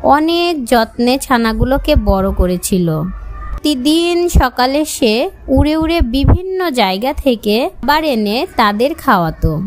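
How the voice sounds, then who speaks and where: A woman's voice: sits high, rough recording. A woman narrating scripted moral stories for children. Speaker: storyteller.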